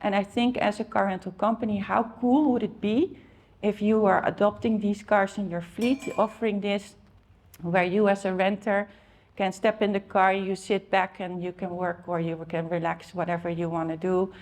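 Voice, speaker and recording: A woman's voice: medium pitch, little pitch movement, moderate pace, darkish tone. A middle-aged woman from the Netherlands with a Dutch accent, giving a conference talk in a conversational way, with many fillers and restarts. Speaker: conference speaker.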